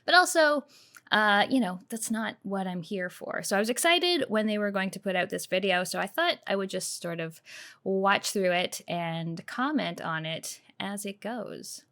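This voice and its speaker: A woman's voice: medium pitch, lively intonation, normal speed, a bit bright. A woman giving casual, off-the-cuff commentary as the host of a video game reaction.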